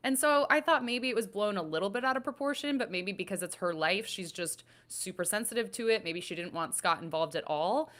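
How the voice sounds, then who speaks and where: A woman's voice: medium pitch, a little fast, few pauses, a bit bright. A woman on a recap panel giving casual opinions, conversational and thinking aloud as she goes.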